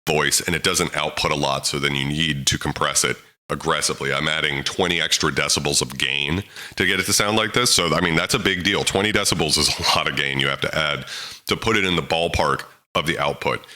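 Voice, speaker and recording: A man with a very deep voice hosting an audio tutorial, talking casually about microphones with plenty of fillers, close to a handheld mic in a home studio. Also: brisk. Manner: relaxed, chatty, frequent fillers. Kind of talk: casual how-to explainer.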